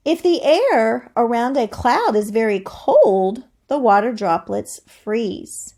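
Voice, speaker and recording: A woman with a fairly high voice and noticeable creak reads aloud like a teacher. She takes her time, explaining things to the listener in an instructive way. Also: a little mellow. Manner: instructive, asks the listener questions. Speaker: teacher reading a science book aloud. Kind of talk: educational read-aloud with explanatory asides.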